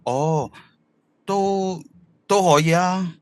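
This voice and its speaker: A man's voice: sits high, extremely slow. An actor playing a conflicted, remorseful young man in an audio drama, earnest and introspective, with a Hong Kong Cantonese accent. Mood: distracted. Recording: long hall-like reverb.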